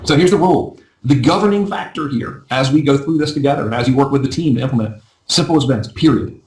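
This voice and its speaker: A man coaching a live webinar in a chatty, self-deprecating way, his voice going a little hoarse. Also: mid-range voice, a little mellow, reverberant, rough recording. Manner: fast, chatty, self-deprecating, often asks "right?". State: losing his voice. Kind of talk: casual live webinar teaching.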